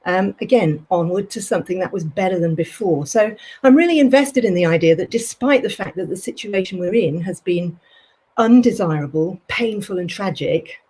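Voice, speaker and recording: A woman's voice: fairly low pitch, expressive, moderate pace, mellow, slightly rough. A woman academic talking conversationally as a panelist in an online discussion. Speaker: panelist, theology professor and college principal.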